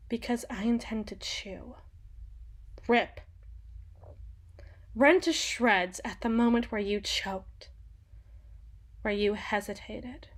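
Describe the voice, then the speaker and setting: A haughty young empress voiced by a woman holds forth in a theatrical audio roleplay. Her voice sits fairly high and she takes her words very slowly, leaving deliberate dramatic pauses. She is imperious and mocking, with a possessive, menacing edge. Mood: low, intense.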